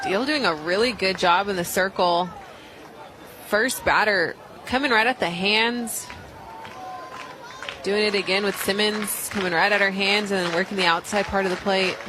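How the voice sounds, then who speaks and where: Female color commentator, medium pitch, conversational softball analysis, outdoor crowd and noise behind. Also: moderate pace. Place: outdoor softball stadium broadcast position.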